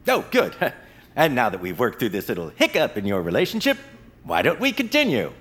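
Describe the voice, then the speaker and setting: A man's voice: medium pitch, huge pitch swings, a little fast, husky, exaggerated voice. A man playing an exasperated, hammy radio game-show host who keeps up a strained politeness. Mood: strained, weary. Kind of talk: radio game-show hosting, comic acting. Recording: loud studio audience crowd noise.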